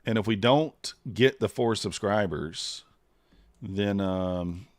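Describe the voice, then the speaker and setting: A man's voice: fairly low pitch, takes it slowly, no room sound, studio quality. A man streaming live, chatting casually and thinking aloud with many fillers as he trades. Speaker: streamer trading futures live.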